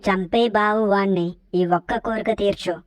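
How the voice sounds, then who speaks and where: Woman as pleading cartoon queen mother, manipulative, vengeful, medium pitch, dry sound. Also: steady tone, moderate pace, no room sound, natural voice. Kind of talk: comic cartoon parody melodrama.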